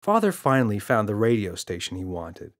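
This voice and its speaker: A man's voice: lowish voice, very animated, a little fast. A man reading a scripted children's story as an audiobook narrator.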